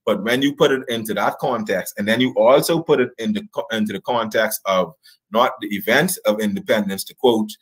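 Man, thirties, Bahamian accent, husky voice, emphatic explainer, interview guest, rhetorical check-ins. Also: on the low side, brisk, low-quality recording. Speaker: guest historian. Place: indoors, in front of a draped backdrop, on a video call. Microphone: video call.

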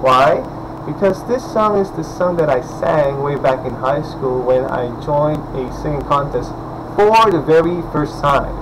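Young male vlogger, hoarse morning voice, slightly high-pitched, chatty and rambling, webcam mic with a noisy background hum. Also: lively intonation, unhurried. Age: young adult. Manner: rambling, chatty, many fillers and restarts. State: hoarse, just woke up. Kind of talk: casual vlog talk to camera. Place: indoors at home, small room. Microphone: webcam at desk distance.

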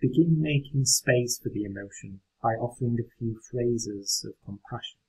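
A man guides a meditation with gentle, unhurried instruction. His voice is mellow and relaxed, with a husky, breathy edge and an average male pitch. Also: low-quality recording.

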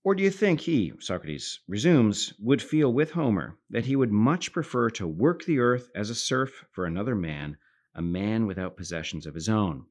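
A middle-aged man hosting a philosophy podcast, giving thoughtful lecture-style commentary and reading passages aloud, lifting his voice for quotes and dropping low at the ends of phrases.

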